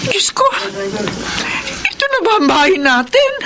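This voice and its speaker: Worried middle-aged mother, slow, swooping lamenting delivery, radio drama, noisy backdrop. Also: extremely high pitch, big pitch swings, heavily acted. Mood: despairing. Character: fretful, tender middle-aged mother of a poor family. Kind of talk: Filipino radio drama acting.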